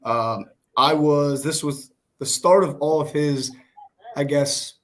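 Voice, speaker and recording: A man in his twenties with an American accent and a hoarse voice, chatting casually as a guest. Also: fairly high pitch, takes it slowly. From Pennsylvania, United States. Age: 20s. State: hoarse from shouting. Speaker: guest, small business worker. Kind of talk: casual chat. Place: video call.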